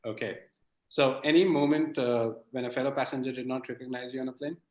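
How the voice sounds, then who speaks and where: A man hosts a live online chat, asking questions in an easy, light-hearted way. His voice sounds roomy and a little muffled through lo-fi call audio, with an ordinary middle pitch. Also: steady tone, brisk. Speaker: host. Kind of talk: hosting a live online interview. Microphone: video call.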